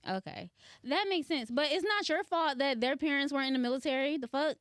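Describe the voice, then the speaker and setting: A woman on a podcast with a fairly high, lively voice that rises and falls a lot. She talks frankly and playfully, dropping curses without a second thought. The sound is dry and close. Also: a little fast, no echo. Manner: frank, playful, swears casually. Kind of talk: casual podcast chat.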